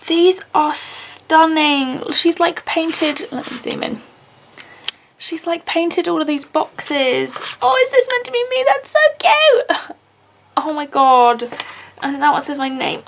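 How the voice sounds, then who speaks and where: A woman unboxing handmade charms in a casual vlog, gushing and excitable, with a slightly raspy voice. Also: high-pitched, slight background noise. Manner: gushing, excitable, many "oh my God" and gasps.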